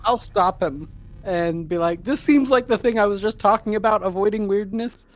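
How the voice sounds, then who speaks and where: High-pitched man, slightly muffled. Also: moderate pace. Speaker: tabletop game player. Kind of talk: casual in-game discussion. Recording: dull audio, faint music behind.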